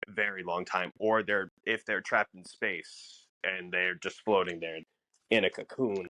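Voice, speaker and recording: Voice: deep voice, fairly even intonation, unhurried, sharp tone. A podcast co-host in casual fan discussion, musing and posing questions aloud.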